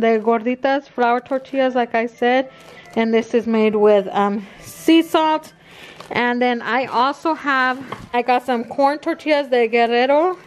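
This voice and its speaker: A woman's voice: on the high side, smooth. A woman in her thirties chatting casually at home as she shows her grocery haul to the camera, rambling with fillers. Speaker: vlogger. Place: home kitchen, indoors.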